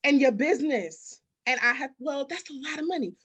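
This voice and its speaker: A woman's voice: sits high, expressive, brisk, a bit bright. A woman giving motivational, conversational interview answers as a business coach, emphatic, with repetition and rhetorical questions. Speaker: business architect and mindset coach, interview guest.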